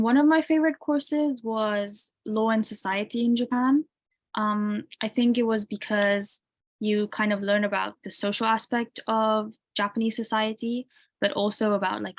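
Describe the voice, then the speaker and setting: A woman in her twenties, a university student, answering interview questions casually with lots of "like" and "um". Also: mid-range voice. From UK, grew up in Tanzania. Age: 20s. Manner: relaxed, many "like" and "um" fillers.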